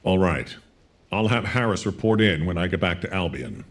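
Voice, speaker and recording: An actor playing a firm, authoritative military commander in a game cutscene, resolute yet caring. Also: male voice, deep voice, lively intonation, moderate pace.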